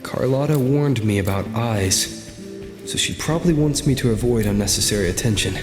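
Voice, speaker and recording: A reverberant male voice over clear music, an actor playing a calm young hero in a video game. He muses in a low-key, thoughtful inner monologue. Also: moderate pace, lightly acted. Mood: pensive. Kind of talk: scripted video game acting. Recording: echoey long reverb, music behind.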